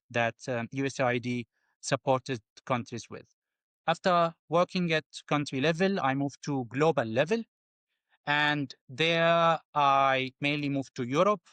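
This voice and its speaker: Syrian guy, smooth clear voice, dry close sound, kinda halting, repeats words. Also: a little high, fairly bright tone, no echo. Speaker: guest. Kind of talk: interview answers.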